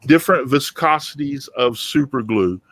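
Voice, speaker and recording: A man's voice: medium pitch, lively intonation, normal speed, fairly bright tone, no echo. A man hosting a casual live group chat about his craft, talking in a folksy, rambling way and often repeating himself. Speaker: host of a woodworking talk show.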